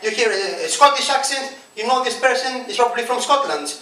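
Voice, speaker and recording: Young man, strong Greek accent, stand-up comic, self-mocking, highish voice, roomy echo. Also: lively intonation, brisk. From Greece. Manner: conversational, self-mocking, chatty asides. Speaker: stand-up comedian. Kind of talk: stand-up comedy set. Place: comedy club stage. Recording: echoey club from far off, loud ambience. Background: audience laughter.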